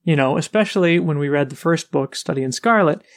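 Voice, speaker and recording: A man's voice: on the high side, a little fast, no room sound. Man retelling a plot with energy. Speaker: podcast co-host. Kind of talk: casual book discussion.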